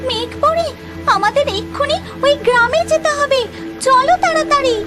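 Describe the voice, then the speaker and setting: An extremely high-pitched, sweet young heroine from a fairy-tale cartoon, acted by a woman. She is excitable and exclaims dramatically. Music plays clearly behind her lines. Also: normal speed. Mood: urgent. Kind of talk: cartoon story dialogue.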